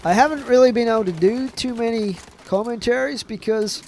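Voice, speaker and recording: A man's voice: very high voice, a little fast, darkish tone, slight background noise. A young man with a stuffed-up voice from a chest infection, giving casual, rambling commentary over a zombie shooter game. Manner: chatty, trailing off with fillers. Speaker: gaming commentator.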